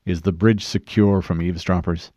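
Man as stoic super-soldier, low voice. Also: steady tone, dark tone, no room sound, highs cut off, lightly acted. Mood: resolute, guarded. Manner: terse, measured, military. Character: stoic male armored super-soldier chief, terse, resolute. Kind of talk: audiobook character voice.

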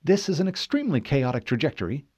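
A man voicing a brisk, cool-headed female artificial intelligence aboard a warship in an audiobook, with clipped, matter-of-fact delivery. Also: fairly high pitch, very animated, darkish tone, husky, no room sound, voice pushed up. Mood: focused.

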